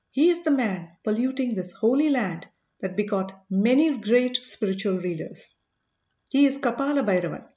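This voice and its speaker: A woman voicing an earnest, commanding male sage in an audiobook, speaking in a measured, emphatic way. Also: medium pitch, darkish tone, echoey room, lo-fi sound, lightly acted. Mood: grave, intent. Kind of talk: audiobook character dialogue.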